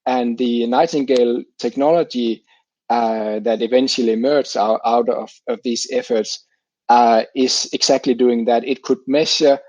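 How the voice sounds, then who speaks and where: A middle-aged man from Denmark, explaining his scientific work as an interview guest in halting phrases with repeated words. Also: medium pitch, steady tone, darkish tone, no room sound. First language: Danish.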